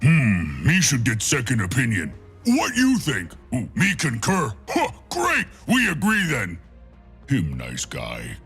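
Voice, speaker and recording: A huge, simple-minded video game brute who fancies himself a physician. The voice is very gravelly and rough, slow and plodding, mangling grammar and naming himself in third person. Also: male voice, medium pitch, wildly swooping pitch, fairly bright tone, soft background music, exaggerated voice. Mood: pondering, cheerful. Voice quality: gravelly, husky. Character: hulking dim-witted mad purple brute who believes he's a doctor. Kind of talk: video game character voice lines.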